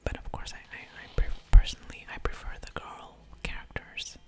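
A woman whispering ASMR game commentary close to the microphone, thinking aloud in a breathy, unvoiced murmur with soft mouth sounds. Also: moderate pace. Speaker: gaming ASMR video host.

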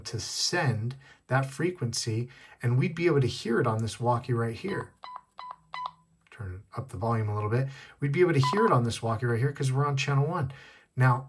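Male tech tutorial host, a bit husky, casual step-by-step delivery. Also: mid-range voice. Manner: step-by-step explaining, repeating key points. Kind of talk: casual hands-on demonstration. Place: indoors at a wooden desk.

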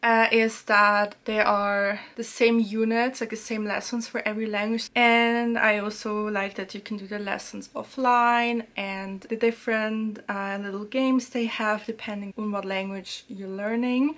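A young woman talking casually and chattily from a small room at home, reviewing a language app and filling her sentences with little fillers. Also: mid-range voice. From Serbia. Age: young adult. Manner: chatty, relaxed, many fillers. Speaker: language learning YouTuber.

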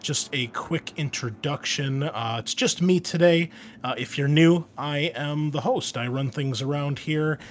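A man with a fairly low voice hosts a gaming podcast in a casual, explanatory way. He talks as a game master introducing his show, with a faint layer of noise behind him. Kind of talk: casual scripted-outline introduction to a gaming channel.

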